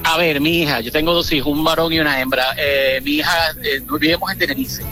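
A man's voice: a little high, fairly even intonation, fairly quick, sharp tone, faint music behind, poor audio. A middle-aged man from Venezuela calling in by hands-free phone while driving a truck, chatty and hesitant, with many fillers and restarts. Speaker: caller. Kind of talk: casual phone chat.

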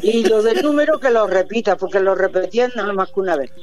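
A woman in her seventies calling in to a radio show, chatting in a rambling, warm-hearted way with devout exclamations. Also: medium pitch, lively intonation, fast talker. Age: 70s. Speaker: radio caller. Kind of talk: casual chat on a phone-in.

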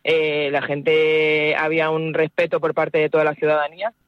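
A woman's voice: low voice, flat delivery, moderate pace, no echo, lo-fi sound. A woman in her forties from Madrid, an activist spokesperson answering radio interview questions earnestly, with many hesitations and restarts. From Madrid, Spain. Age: 40s.

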